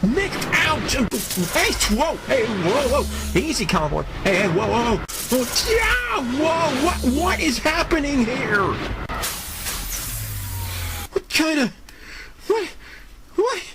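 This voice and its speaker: A man's voice: very high-pitched, sing-song, takes it slowly, heavy background noise, voice pushed up, exaggerated voice. A man playing a flustered, startled superhero in an amateur audio drama, stammering, exclaiming and repeating himself in protest. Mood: panicked.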